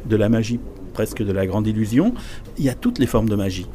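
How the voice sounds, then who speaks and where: A man's voice: on the low side, a little fast, warm tone, slight background noise. A man hosting a radio interview, asking brief, friendly questions. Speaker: radio host. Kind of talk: casual interview.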